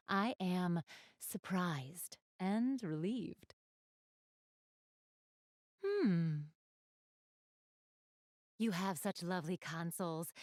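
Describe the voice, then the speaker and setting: A woman's voice: lowish voice, no room sound, clean studio sound. A woman voicing a polite, ladylike young goddess in a video game, speaking formally and graciously to the player. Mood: surprised, relieved. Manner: formal, refined, gently ladylike phrasing.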